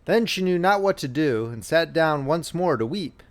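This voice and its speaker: A man narrating as an audiobook reader, telling a story in an expressive, measured, scripted style. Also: on the high side.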